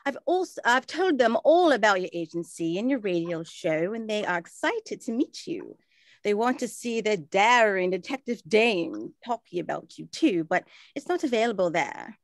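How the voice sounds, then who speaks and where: A woman's voice: mid-range voice, animated, normal speed, no room sound, natural voice. A woman playing an earnest, well-travelled 1920s aunt in a period drama, speaking formally and explaining things. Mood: earnest, informative. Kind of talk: scripted period radio drama acting.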